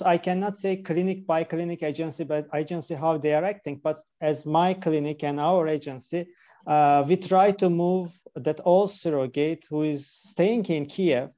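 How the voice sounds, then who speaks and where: Turkish-accented man, embryologist, earnest, hunts for words, mellow voice, muffled remote line. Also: on the high side. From Turkey. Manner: earnest, searching for words in non-native English. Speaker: embryologist and clinic director. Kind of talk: remote interview. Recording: dull lo-fi call audio.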